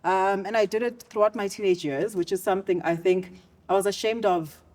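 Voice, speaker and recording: A woman's voice: medium pitch, brisk, a little mellow. A woman in her twenties from South Africa, a guest in an interview, talking in an earnest, reflective way about her own experiences. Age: 20s. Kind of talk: candid interview conversation.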